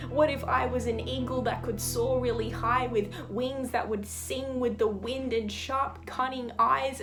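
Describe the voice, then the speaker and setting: High-voiced woman reciting slam poetry over music. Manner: rhythmic, rhymed, theatrical storytelling delivery. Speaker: slam poet.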